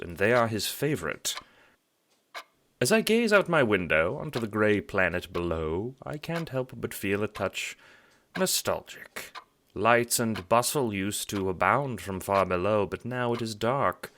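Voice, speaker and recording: A man's voice: lowish voice, fairly bright tone, slight background noise, exaggerated voice. A man playing a genteel, smug, theatrical guardian villain in an audio drama, speaking in a pompous, wordy and affectedly courteous way. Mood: wistful, forlorn. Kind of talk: audio drama monologue addressed to the listener.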